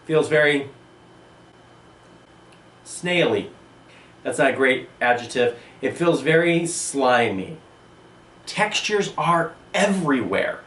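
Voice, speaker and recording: A man, an art teacher, giving an enthusiastic video lesson in a room. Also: mid-range voice. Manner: animated, enthusiastic explaining. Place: indoor room with a table and a sandstone wall. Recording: roomy, distant mic.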